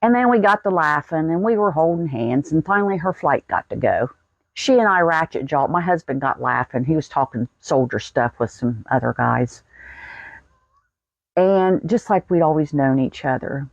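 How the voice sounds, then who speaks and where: A woman's voice: deep voice, animated, normal speed, no room sound, muffled recording. An American woman in her sixties telling a personal story in a folksy, plain-spoken way. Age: 60s. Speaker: vlogger.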